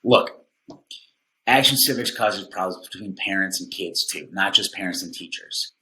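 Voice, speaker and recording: An actor playing a stubborn, skeptical veteran civics teacher in an audio drama, arguing bluntly. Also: male voice, low-pitched, moderate pace, pushed and bright, poor audio. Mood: insistent, exasperated. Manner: blunt, argumentative.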